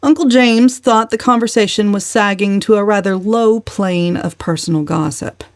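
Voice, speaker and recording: A woman reading a novel aloud as a dramatic audiobook narrator, measured and expressive. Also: mid-range voice, dry recording, studio quality.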